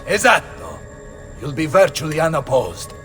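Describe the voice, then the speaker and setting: An actor playing a bold, blustering Renaissance mercenary captain with an Italian accent in a video game. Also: male voice, a little high, very animated, normal speed, fairly bright tone, faint music behind. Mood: confident, scheming. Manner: blustering, theatrical. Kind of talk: video game cutscene acting.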